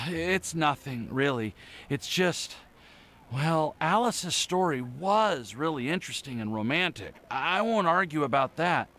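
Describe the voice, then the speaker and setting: A man's voice: a little high, expressive, slow, a bit bright, faint music behind. A man voicing an anxious, lovesick young male alchemist in an anime-style game, hesitant and stammering. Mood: dejected, sheepish.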